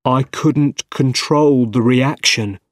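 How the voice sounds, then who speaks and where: Brooding, obsessive scientist villain , played by a male reader: very slow, heavy, dramatic storybook delivery. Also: mid-range voice, dry recording, clean studio sound, lightly acted. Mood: grieving.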